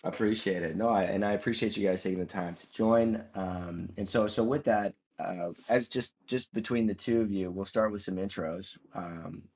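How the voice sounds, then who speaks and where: A man's voice: low-pitched, normal speed, few pauses, a little mellow. A man hosting an online Q&A, a bit under the weather, chatting in a friendly way with frequent stammers and laughs. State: a bit sick. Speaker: AMA host. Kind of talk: casual chat hosting.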